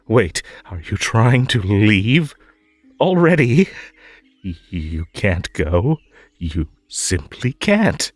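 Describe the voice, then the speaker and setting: Man acting a slow, sugary, possessive magical host. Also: on the low side, sing-song, no echo, soft background music, full character voice. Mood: panicked, then stern. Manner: halting, drawn-out, over-polite, swinging between coaxing and stern. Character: possessive magical tea-party host, sugary polite, menacing, unhinged. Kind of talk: scripted roleplay audio drama.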